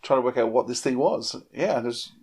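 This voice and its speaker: A man's voice: medium pitch, lively intonation, quick pace, darkish tone, husky. A man chatting casually on a podcast, rambling and repeating words as he works through his thoughts. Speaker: podcast panellist. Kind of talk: casual chat.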